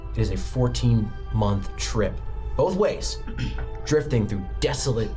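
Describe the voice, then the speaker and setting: A man's voice: mid-range voice, darkish tone. A man narrating a tabletop game as game master, describing scenes steadily with the odd filler. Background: music.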